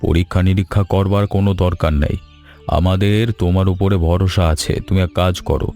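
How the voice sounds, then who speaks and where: A man voicing a kindly, trusting village cloth merchant in an animated story, calm and reassuring like a patron. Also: low-pitched, fairly quick, faint music behind. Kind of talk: Bengali animated story dialogue.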